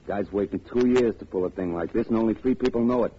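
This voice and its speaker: An actor playing a blunt, casually menacing henchman in a 1940s radio crime drama, talking in rough gangster slang. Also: on the low side, fast, lightly acted. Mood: confiding. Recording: muffled, noisy old radio recording.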